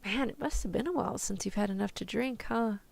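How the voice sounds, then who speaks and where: A woman's voice: mid-range voice, fast, vocal fry, a little mellow. A woman playing a tough, protective, easygoing rescuer in an ASMR roleplay, talking in casual slang. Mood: breezy, reassuring. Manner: casual slangy reassurance, turning threatening.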